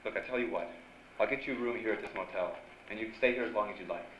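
Hard-boiled 1940s radio reporter, played by a man: low, wry, clipped, on a dull stage recording. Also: racing, fairly bright tone, a little hiss or hum, natural voice. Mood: reassuring. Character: hard-boiled, smitten 1940s newspaper reporter, narrating his story. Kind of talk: 1940s radio drama acting.

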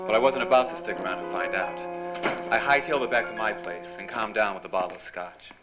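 An actor playing an evasive, slangy newspaper reporter in a noir radio drama. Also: male voice, lowish voice, quick pace, lo-fi sound, loud music behind the voice, close to an everyday voice. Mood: world-weary narration. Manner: hesitant, stammering when cornered, slangy. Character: evasive hardboiled male newspaper reporter, scotch-drinking night owl.

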